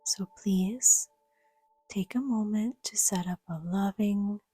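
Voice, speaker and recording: A woman guiding a yoga nidra meditation in a soft, breathy, half-whispered voice, gentle and soothing, with measured pauses. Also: medium pitch, faint music behind. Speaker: guided meditation teacher.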